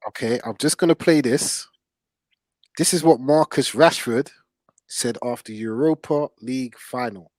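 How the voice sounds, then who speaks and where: A man's voice: mid-range voice, unhurried, no echo, low-quality recording. A man hosting casual football debate, emphatic and slangy, repeating himself for stress. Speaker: football podcast host.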